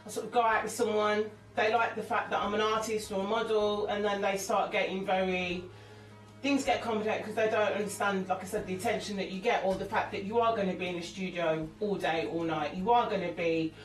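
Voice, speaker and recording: A woman, a singer and actress, talking candidly as an interview guest, lively and jokey with many restarts. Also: medium pitch, a little fast. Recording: echoey room, far from mic, music behind.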